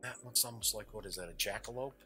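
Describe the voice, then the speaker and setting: A man's voice: fairly low pitch, quick pace, pushed and bright, faint music behind. A man hosting a game show, giving casual, off-the-cuff unboxing commentary in a chatty, jokey way, often muttering asides to himself. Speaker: host of a tabletop game review show.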